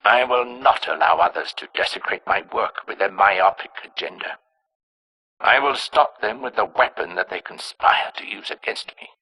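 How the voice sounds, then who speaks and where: An actor playing a cold, arrogant scientist in a video game, narrating with clinical precision in a raspy, hard-edged voice. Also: male voice, on the low side, normal speed, bright, forceful tone, exaggerated voice, poor audio. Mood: bitter resolve. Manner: clinical, precise, self-important. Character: cold, arrogant male scientist engineering plagues and alien pathogens.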